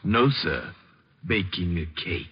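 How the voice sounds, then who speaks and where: An eerie, deferential manservant with a very low voice. He is formal, unruffled and deadpan, in the manner of old radio drama, on a dull recording with faint noise. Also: slow. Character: polite, deadpan, eerie male household servant. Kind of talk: late 1940s to early 1950s radio acting. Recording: muffled, noisy vintage radio recording.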